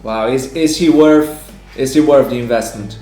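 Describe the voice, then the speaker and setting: A man hosting a casual sports chat with a slightly hoarse voice. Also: medium pitch, moderate pace, warm tone. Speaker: host. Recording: roomy echo, music underneath.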